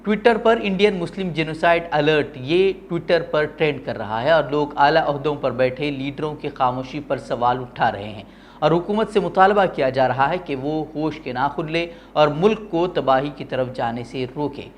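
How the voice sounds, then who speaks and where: A man's voice: on the high side, normal speed, slight background noise. A man presenting opinionated news with formal, literary diction. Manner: formal Urdu-heavy diction.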